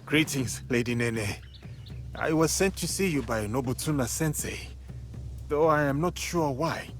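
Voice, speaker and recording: An actor playing an earnest, humble warrior in training in a video game cutscene, with a respectful, formal and restrained delivery. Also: male voice, mid-range voice, lively intonation, measured pace, soft background music, close to an everyday voice.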